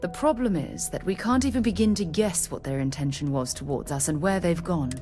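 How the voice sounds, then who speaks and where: A woman's voice: lowish voice, music in the background, natural voice. A woman playing a precise, curious scientist. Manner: measured, precise, formal. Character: formal, curious female scientist, precise and fascinated. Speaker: scientist companion. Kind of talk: video game voice acting.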